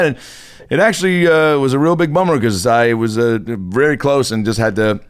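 A man's voice: fairly low pitch, dry recording, studio quality. A man who does voiceover, telling a casual story with laughter on a podcast. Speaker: voice actor, podcast host.

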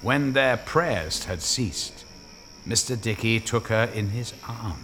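Man, audio drama narrator, deep-set pitch, animated intonation, scripted storytelling. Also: slow, faint music behind.

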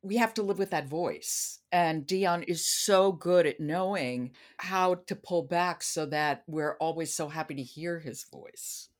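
A woman's voice: sits low, measured pace, few pauses. A woman hosting a podcast in a conversational book discussion. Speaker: podcast host.

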